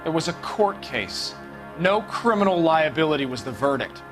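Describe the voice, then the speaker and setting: Aggrieved firebrand suspect; defiant, higher-pitched, crime game drama with faint music. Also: male voice, big pitch swings, moderate pace. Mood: bitter. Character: bitter, defiant male political radical suspect. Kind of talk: dramatic video game interrogation acting.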